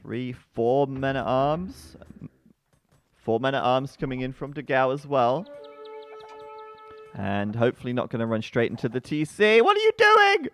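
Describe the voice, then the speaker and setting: A man commentating a strategy game match live, chatty and full of fillers, breaking into excited outbursts at the action. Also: measured pace, long pauses, smooth, faint music behind. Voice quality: bassy-sounding voice. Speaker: esports commentator.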